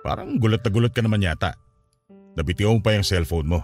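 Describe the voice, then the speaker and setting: An actor playing a suspicious, controlling, stern boyfriend in a Tagalog radio drama, questioning in a domineering way. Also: male voice, lowish voice, quick pace, a little mellow, soft background music, lightly acted. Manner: interrogating, domineering.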